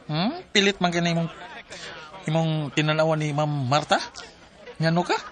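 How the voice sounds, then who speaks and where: A man's voice: on the high side, normal speed, a bit bright, a faint crowd, a little hiss or hum, close to an everyday voice. A man playing a teasing, gossipy workmate in a radio drama, with a Filipino Cebuano accent. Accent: Filipino, Cebuano-speaking. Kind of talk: Philippine radio drama acting.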